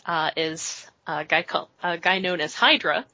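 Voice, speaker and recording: A woman's voice: lowish voice, normal speed, sharp tone, no echo. A woman hosting a podcast in casual chat, rambling and chatty, with plenty of fillers while recapping. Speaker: podcast host. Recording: dull lo-fi podcast audio.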